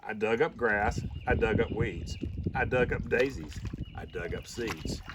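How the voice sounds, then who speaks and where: A man reading a rhyming children's story aloud in an expressive storybook style. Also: lowish voice, a little slow. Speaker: storybook reader. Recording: slightly distant mic, faint background noise.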